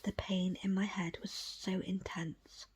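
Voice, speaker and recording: A woman reading a book aloud as ASMR, in a breathy, unvoiced whisper with a slow, hushed storytelling delivery. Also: medium pitch, little pitch movement. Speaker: audiobook reader.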